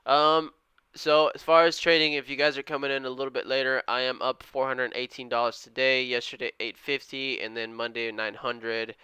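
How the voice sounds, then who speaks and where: Bright, crisp-voiced young streamer guy. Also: mid-range voice, flat delivery, normal speed, no echo. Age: 20s. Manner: upbeat and friendly, slangy, thanks viewers often. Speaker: live-stream day trader. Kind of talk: casual live-stream chat, reading viewer comments.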